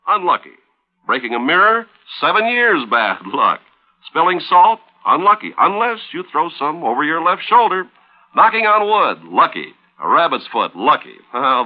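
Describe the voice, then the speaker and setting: Lively old-time radio announcer man. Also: mid-range voice. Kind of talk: 1950s scripted radio narration. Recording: muffled old radio recording.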